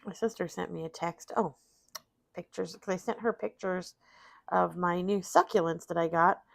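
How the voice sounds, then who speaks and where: A woman's voice: on the low side, fairly even intonation, moderate pace, creaky, no echo, studio quality. A middle-aged woman, sniffly and tired after vaccines, chatting casually and rambling about her day while playing solitaire. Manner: rambling, self-deprecating, talks to chat. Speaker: streamer.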